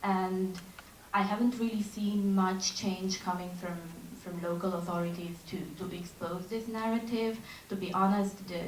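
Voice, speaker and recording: A woman's voice: lowish voice, slight background noise. A young woman from Romania, asking a question from the audience, hesitant and repeating words as she goes. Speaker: audience member. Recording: distant, echoey hall.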